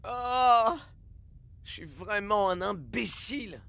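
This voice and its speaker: An actor playing an earnest, excitable young boy footballer in a cartoon dub, with a dramatic, emotional delivery. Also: mid-range voice, slow pace, gravelly, heavily acted. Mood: dejected. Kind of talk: animated series dub acting.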